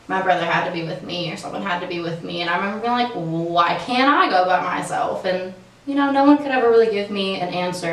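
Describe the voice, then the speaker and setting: A woman's voice: lowish voice, distant mic. Casual twenty-year-old woman, echoey. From California. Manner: casual, lots of "like". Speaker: interviewee.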